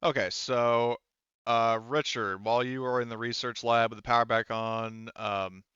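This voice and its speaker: Man, game master, halting explanations, clear voice, dry slightly dull recording. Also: medium pitch, fairly even intonation, bright, forceful tone, dry recording. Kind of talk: narrating a tabletop roleplaying game, unscripted.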